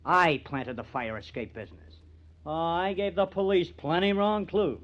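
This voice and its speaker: Old radio crime show villain played by a guy, bitter scheming artist, highish voice swinging all over, dull recording. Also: moderate pace, natural voice. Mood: smug, scheming. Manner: theatrical, taunting, intense. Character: cunning, bitter, gloating male artist framing his rival for murder. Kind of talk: old-time radio drama acting.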